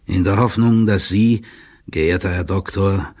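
A man playing a deferential, pedantic court clerk who reads out his letter in a formal, measured manner. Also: sits low, a little mellow. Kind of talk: formal dramatic letter reading in an audio drama.

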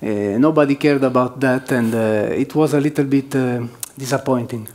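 A man's voice: mid-range voice, normal speed, a little mellow. A man presenting at a developer conference as one of its organizers, hesitating often with fillers and restarts. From southern Switzerland. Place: conference hall.

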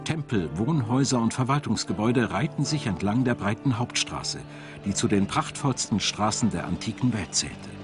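Low-pitched male documentary narrator, music behind. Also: animated, normal speed. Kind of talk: scripted documentary narration and voice-over.

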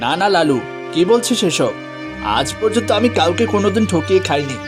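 A fairly high male cartoon voice from an actor: a poor village father, honest and gentle. He sounds weary but principled, with soft music behind. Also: lively intonation, fairly quick. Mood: earnest. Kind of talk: cartoon fairy tale voice acting.

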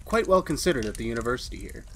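A man's voice: medium pitch, animated, very fast, a bit bright. Man acting an uneasy, brooding radio drama husband. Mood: resigned. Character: haunted husband confessing a murder plan, uneasy academic. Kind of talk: retro radio drama acting, first-person narration. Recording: faint background noise.

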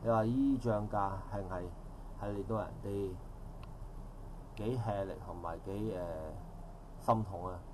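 A man's voice: fairly low pitch, measured pace, pauses often, noisy background. A middle-aged man with a Hong Kong Cantonese accent giving a long, rambling public comment at an outdoor park forum, with formal phrasing and frequent self-corrections. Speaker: audience member speaking at a public forum.

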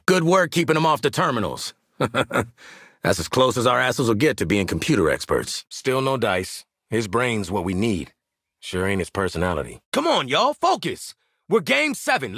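Video game squad assassin: American, brash and wisecracking, husky voice with big swings in pitch. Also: male voice, a bit bright, no echo, clean studio sound, heavily acted. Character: cocky, world-weary male assassin and squad soldier.

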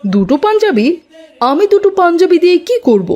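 A woman voices a well-mannered cartoon boy with a very high, animated voice. He is a modest, respectful son who speaks politely. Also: fairly quick. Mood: polite, curious. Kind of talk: cartoon dialogue.

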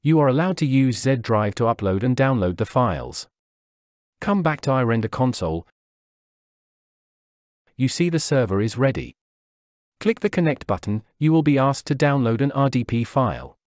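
A man narrating a scripted software tutorial, walking through each step in a steady, instructional way. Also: medium pitch, normal speed, pauses often, dry recording, clean studio sound. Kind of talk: scripted software walkthrough voice-over. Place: voice-over over screen recording.

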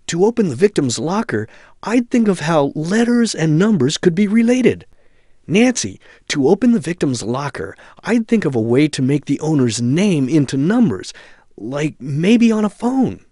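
Helpful young male friend for a game: earnest advice, very animated, dry sound. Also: a little high, no room sound. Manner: earnest, advising. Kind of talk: adventure game hint dialogue.

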